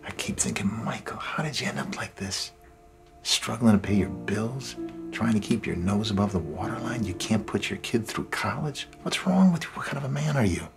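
Low-voiced, downcast middle-aged man. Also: steady tone, normal speed, long pauses, music in the background. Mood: anguished self-blame. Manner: hesitant, subdued. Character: downcast, self-blaming husband and father struggling with money. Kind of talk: naturalistic film drama acting.

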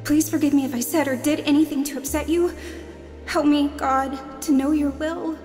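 Woman as a high-voiced devout young girl in reverb. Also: steady tone, moderate pace, over music, lightly acted. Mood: anxious, pleading. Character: frightened, devout young girl praying anxiously. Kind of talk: radio drama acting. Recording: long echoing hall reverb.

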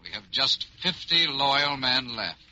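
An actor playing a blunt, loyal aide in an old-time radio drama, urgent and slangy over a radio phone. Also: male voice, mid-range voice, lively intonation, a little slow, sharp tone, muffled recording. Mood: grim, urgent. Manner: blunt, slangy American delivery.

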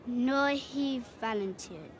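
Cheeky high-voiced girl vendor. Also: slow pace, slight background noise. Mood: curious, proud. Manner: bold, bargaining, childlike. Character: cheeky, persistent young girl street vendor. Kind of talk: video game cinematic acting.

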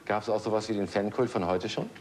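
A middle-aged man hosting a TV show in a large studio, presenting in a brisk, chatty way with rhetorical asides. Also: fairly low pitch, steady tone, darkish tone, slight background noise. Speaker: TV show host. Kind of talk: lively presenting.

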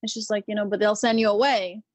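A woman's voice: medium pitch, steady tone, fast talker, no room sound. A woman in her thirties from Peru, a Marine veteran guest talking casually with lots of hesitant ums and restarts. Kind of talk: casual interview conversation.

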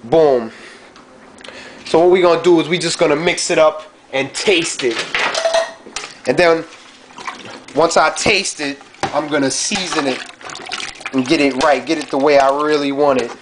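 A young man with a slightly high voice chats loosely and slangily as he cooks in a dim home kitchen. He's caught on a close handheld camera mic, with a faint hiss behind him. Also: measured pace, long pauses. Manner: laid-back slangy chatter, frequent "you know what I'm saying". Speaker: home cook vlogger. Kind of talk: casual cooking vlog. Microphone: handheld camera mic held close.

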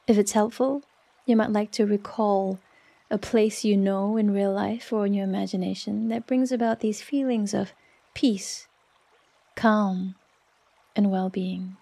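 A woman leading a guided relaxation meditation in a soft, soothing, unhurried manner with long pauses. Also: mid-range voice, fairly even intonation, vocal fry. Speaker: yoga nidra meditation guide.

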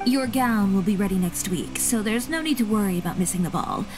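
Actress as composed, self-assured young boutique designer. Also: female voice, mid-range voice, fairly quick, lightly acted. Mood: calm, concerned. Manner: composed, articulate, matter-of-fact. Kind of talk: scripted video game voice acting. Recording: game music behind the voice.

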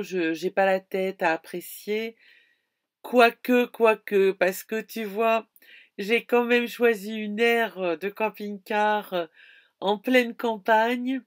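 A middle-aged woman chatting casually to her viewers from inside a camper van, hesitant and full of fillers, filmed close up. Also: mid-range voice. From France. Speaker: vlogger. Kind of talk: casual travel vlog. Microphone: phone or camera close to face.

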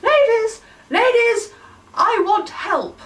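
A woman voicing an eager, flustered male farmer who yelps and pleads loudly. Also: high-pitched, big pitch swings, measured pace, recorded from a distance, pitched above the performer's own voice, heavily acted. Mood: pleading. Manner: yelping, shouty, breathless pleas.

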